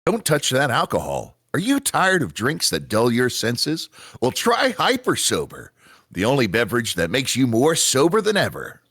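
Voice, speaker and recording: A man playing a slick, over-enthusiastic commercial announcer with an emphatic sales pitch, in a table read of a parody radio advert. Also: huge pitch swings, normal speed, fairly bright tone, husky, no echo, studio quality, full character voice. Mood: upbeat salesmanship.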